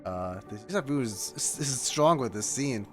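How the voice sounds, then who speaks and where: Animated, emotional game streamer reacting. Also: mid-range voice, measured pace, soft background music. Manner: emotional, exclamatory. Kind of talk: casual reaction commentary.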